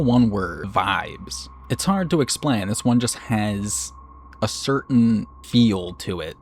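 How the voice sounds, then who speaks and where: A man's voice: on the low side, a little mellow, slight background noise. A man narrating a video review in a conversational scripted style, with informal, chatty asides as host. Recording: narration over background music. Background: background music.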